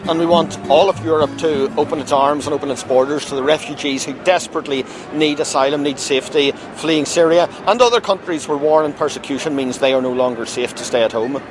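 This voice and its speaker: A man speaking in a measured, campaigning way in a news interview at a rally. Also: a little high, music in the background. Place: outdoors at a city rally. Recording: outdoor traffic noise.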